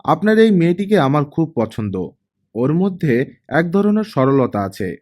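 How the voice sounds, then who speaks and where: A reserved young man in audiobook dialogue, read by a male narrator. He speaks tersely and matter-of-factly, with a soft, mellow tone and a slightly high pitch. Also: very animated, measured pace.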